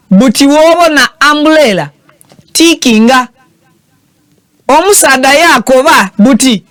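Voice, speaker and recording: A Ugandan man hosting a show, giving animated and teasing political commentary that switches languages mid-sentence. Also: extremely high pitch, no room sound.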